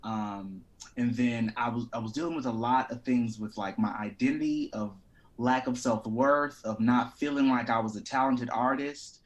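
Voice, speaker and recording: Candid, expressive man in conversation. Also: mid-range voice, moderate pace. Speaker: actor, interview guest. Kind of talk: casual interview conversation.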